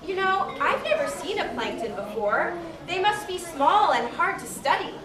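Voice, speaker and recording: Very high, curious woman-played puppet. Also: very animated, moderate pace, a crowd in the background. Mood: curious, excitable. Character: curious, excitable puppet asking questions. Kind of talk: scripted children's puppet show. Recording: echoey hall, far from mic.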